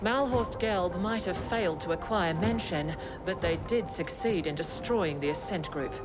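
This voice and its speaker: An actor playing a cold corporate official in a video game, speaking in a formal, measured, condescending and menacing way. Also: mid-range voice, loud music behind the voice, lightly acted. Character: cold corporate official addressing a hired operative. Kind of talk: scripted video game dialogue.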